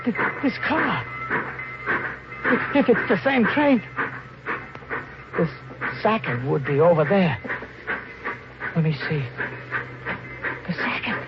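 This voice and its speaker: A muffled 1950s radio thriller recording carries a cornered killer coming apart. He speaks extremely slowly in a very high voice, stammering and repeating himself as panic turns to hysteria. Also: sing-song, over music, exaggerated voice. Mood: dawning horror. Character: panicked killer trapped in a boxcar, unraveling into hysteria.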